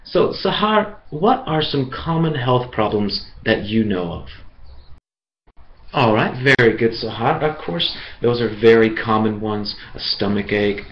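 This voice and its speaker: A man from the USA leads an online English class, speaking slowly and clearly to his students. His voice sits at an ordinary pitch. The sound is a little dull, with faint noise behind it. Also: sing-song. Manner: slow, clear, encouraging teacher talk. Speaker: English teacher.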